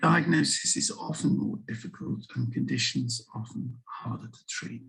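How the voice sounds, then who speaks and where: Hoarse, husky older man lecturing. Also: medium pitch, fairly bright tone, low-quality recording. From England. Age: 60s. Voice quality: gravelly, breathy. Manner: measured, explanatory, with frequent ums. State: husky from a sore throat. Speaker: guest lecturer, HIV physician. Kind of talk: online medical lecture. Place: home room with empty shelves and packing boxes. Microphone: video call.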